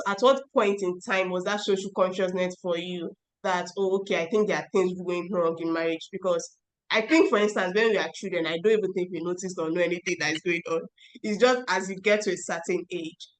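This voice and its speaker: Woman, host, casual chatty questions, middle pitch. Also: rough recording. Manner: chatty, laughs between questions. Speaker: podcast host. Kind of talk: interview questions, casual chat.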